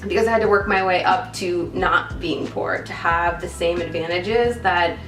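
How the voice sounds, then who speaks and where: A woman's voice: lowish voice, normal speed, fairly bright tone. A woman in her early thirties from upstate New York, speaking earnestly in a documentary interview. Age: 30s. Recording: roomy, distant mic with music bed.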